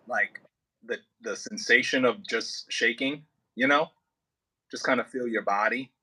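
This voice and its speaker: A man leading a voice warm-up as a coach, explaining casually and haltingly with plenty of filler words. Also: mid-range voice, rough recording. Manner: informal, halting explanations with many "like" and "kinda" hedges. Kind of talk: casual hands-on coaching over a video call.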